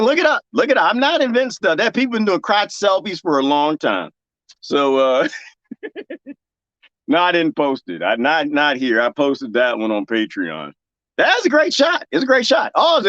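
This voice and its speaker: Lively joking man with a Texas accent. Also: fairly high pitch, dry recording, dull audio. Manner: animated and joking, laughs often, talks straight to his chat. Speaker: livestream host reading viewer comments. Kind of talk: casual chat with viewers. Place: garden, planting cuttings.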